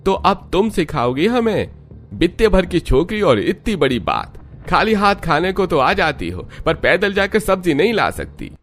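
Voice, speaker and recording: A man's voice: high voice, big pitch swings, moderate pace, a bit bright, soft background music, heavily acted. A man voicing a rude, resentful village son and husband in a cartoon, contemptuous and scolding. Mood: mocking.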